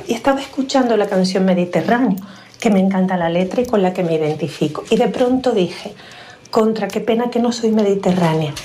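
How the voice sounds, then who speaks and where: A woman's voice: mid-range voice, dark tone, slight background noise. A woman from the Canary Islands, telling a sea story as a caller to a radio show.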